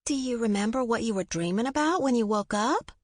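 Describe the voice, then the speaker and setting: A talkative, motherly hospital nurse fusses over her patient in a folksy, kindly scolding way, as a woman reading the story puts her on. The voice sits a little higher than the narration. It is recorded close and dry, with no room around it. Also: animated, fairly quick, no echo. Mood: brisk. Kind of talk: audiobook character voice.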